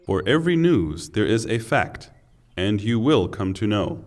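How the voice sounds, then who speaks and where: A man reading a scripture translation in a solemn, measured and formal scripted delivery. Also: on the low side, big pitch swings.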